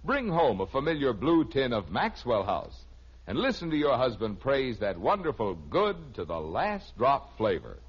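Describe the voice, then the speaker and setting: A man's voice: medium pitch, very animated, moderate pace, slightly rough. An American man delivering a folksy, persuasive 1950s radio advertisement as a commercial announcer. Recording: muffled old radio recording.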